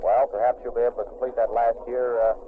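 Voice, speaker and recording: A man's voice: medium pitch, little pitch movement, quick pace. A man conducting a wartime radio interview aboard a navy ship, chatty and prompting, with light teasing. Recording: tinny lo-fi 1940s radio recording with hiss.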